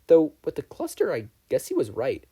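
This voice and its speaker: A man voicing an earnest, guarded teenage boy raised as a child soldier, speaking in a measured, matter-of-fact way in a story reading. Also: a little high, huge pitch swings, dark tone, slightly rough, heavily acted. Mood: dry, explanatory. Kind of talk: fan fiction audiobook character reading.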